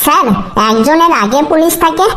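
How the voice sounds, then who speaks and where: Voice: extremely high pitch, moderate pace, pushed and bright. A performer voicing a cheeky, wisecracking school pupil in a comedy cartoon, with a cocky, explanatory delivery.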